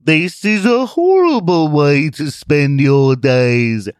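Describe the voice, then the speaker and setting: A sinister talking television, played by a male narrator in an audiobook. It taunts in a shrill, high, wildly swooping voice. The sound is dry and close. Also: lively intonation, takes it slowly, clean tone, no echo. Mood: taunting.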